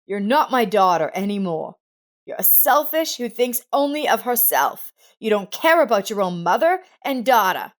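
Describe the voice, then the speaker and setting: A woman's voice: medium pitch, big pitch swings, normal speed, pitched above the performer's own voice, lightly acted. A woman voicing an angry, wounded, proud elderly grandmother in a narrated family drama story. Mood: furious. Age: older.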